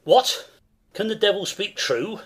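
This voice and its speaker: An actor playing a wary, thoughtful noble soldier in a dramatic Shakespeare reading. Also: male voice, high-pitched, animated, a little slow, a bit bright, full character voice. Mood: startled.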